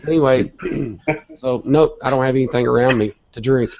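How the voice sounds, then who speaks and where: Hoarse gravelly man with a cold. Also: mid-range voice, moderate pace, a little mellow, a little hiss or hum. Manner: earnest, motivational, rambling. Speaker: guest. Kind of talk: casual online interview talk. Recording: dull lo-fi web call audio.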